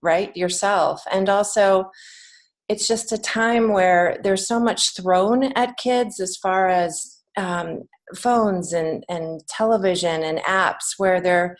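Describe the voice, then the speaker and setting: Woman podcast guest, slightly low voice, talks things through earnestly like a teacher. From United States. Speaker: podcast guest, children's author and creative writing teacher. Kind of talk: conversational interview.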